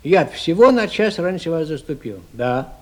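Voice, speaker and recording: An actor playing a good-natured, sympathetic night-shift attendant with a homely, rambling way of talking in a 1970s Soviet radio play. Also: male voice, fairly high pitch, big pitch swings, moderate pace.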